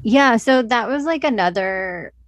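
A woman's voice: a little high, animated, a little slow, dry recording, clean studio sound. A woman co-hosting a film podcast, talking in a casual, conversational way. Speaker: podcast co-host discussing films.

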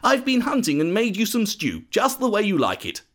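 A man's voice: high voice, wildly swooping pitch, normal speed, fairly bright tone, pitched above the performer's own voice, exaggerated voice. A man voicing a sly younger son in a children's Bible story, eager to please as he deceives. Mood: eager deceit.

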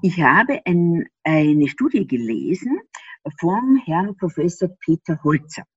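Austrian woman, interview guest, husky, lively pitch, dull dry recording. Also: takes it slowly, no room sound. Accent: Austrian German. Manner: animated, laughs often, explains with rhetorical questions. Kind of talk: explanatory interview talk. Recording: slightly dull dry sound.

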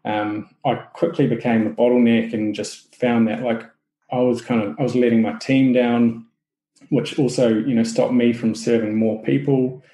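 Relaxed Kiwi man in an echoey room. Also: mid-range voice, normal speed. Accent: New Zealand. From New Zealand. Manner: relaxed, many fillers and restarts. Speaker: podcast guest, SEO business owner. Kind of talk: casual interview chat.

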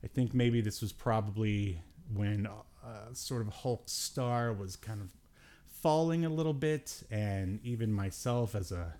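A man's voice: lowish voice, a little slow, mellow. A man in his forties hosting a podcast, chatting casually about films in a rambling way with wry asides. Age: 40s. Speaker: podcast host. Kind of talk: casual film review chat.

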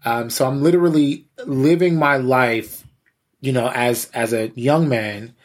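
A man with a husky voice, a pastor and interview guest, giving candid personal testimony in a halting, conversational way with repeated words. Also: medium pitch. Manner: halting, repeats words, frequent "you know".

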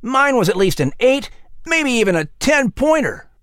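A man's voice: extremely high pitch, very animated, moderate pace, dry recording, clean studio sound, pitched above the performer's own voice, exaggerated voice. A man voicing a boastful young boy who talks in a bragging way in a children's story. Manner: loud, bragging.

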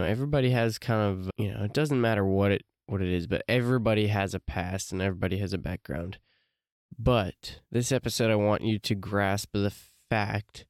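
A man with a low, smooth voice hosts a solo podcast, recorded close and dry. He talks casually, circling back with fillers and restarts. His voice is a little congested from allergies. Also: dry recording. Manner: loose, rambling, many fillers and restarts. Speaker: podcast host.

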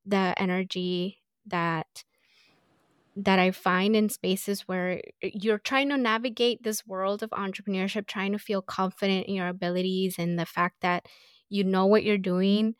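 A woman entrepreneur speaking as a podcast guest, earnest and reflective, often pausing on fillers. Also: mid-range voice, steady tone, clear, resonant tone, dry recording, studio quality. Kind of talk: casual interview conversation.

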